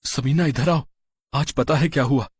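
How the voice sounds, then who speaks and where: Man acting Ahmed, a husky kind farmer. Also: medium pitch, moderate pace, close to an everyday voice. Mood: excited. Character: Ahmed, kind-hearted, humble farmer in cartoon tale. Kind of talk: cartoon story character voice.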